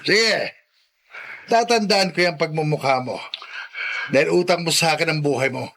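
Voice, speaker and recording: Gravelly, forceful menacing thug. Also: male voice, fairly high pitch, normal speed. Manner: threatening. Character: menacing gunman thug who demands repayment. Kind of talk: Filipino radio drama acting.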